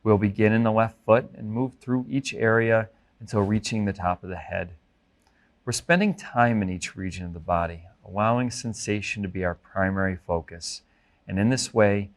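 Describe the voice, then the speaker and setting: Male meditation instructor, lower register, gentle and measured delivery for guided mindfulness practice. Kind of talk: guided body scan meditation.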